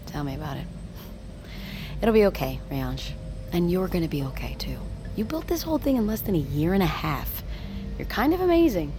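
A female submersible pilot with a wry, teasing streak and a kind core. Her delivery is casual and lively in pitch. Vessel noise is clearly audible behind her in this audio drama. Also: brisk. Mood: teasing, reassuring. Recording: clear vehicle and sea ambience noise.

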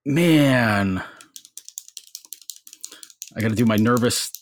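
A man with a bass voice, a guest on a podcast, telling stories in a casual, self-deprecating way. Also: takes it slowly, no echo, studio quality. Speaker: podcast guest, sports writer.

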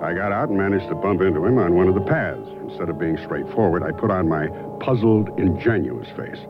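An actor playing a wry private detective in a 1970s radio drama, with dry, crisp narration and sometimes hesitant talk. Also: male voice, low voice, expressive, brisk. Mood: wry storytelling. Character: wry middle-aged male private detective, narrating his case. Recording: dull radio recording, loud music behind.

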